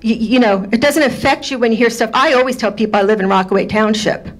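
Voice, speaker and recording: Loud, confrontational woman at a podium. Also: a little high, fast. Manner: loud, confrontational, demanding answers. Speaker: resident speaking at public comment. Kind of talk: public comment at a council meeting. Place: township council meeting room.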